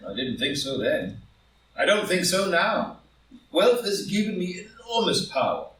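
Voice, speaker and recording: Man acting an impassioned proud gentleman, roomy and distant. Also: high voice, very animated, unhurried, a little hiss or hum, exaggerated voice. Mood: proud, confiding. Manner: impassioned, grandiloquent, emphatic. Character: proud, ambitious wealthy gentleman defending his guilty secret. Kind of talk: live group play reading, stage drama. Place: indoor room, live in-person group reading. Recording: roomy live recording, distant from mic.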